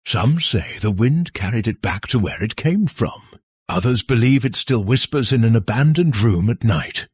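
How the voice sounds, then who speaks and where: A man narrating a scary folktale as a storyteller, in a suspenseful, theatrical way with dramatic pauses. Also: medium pitch, lively intonation, husky, no echo, clean studio sound.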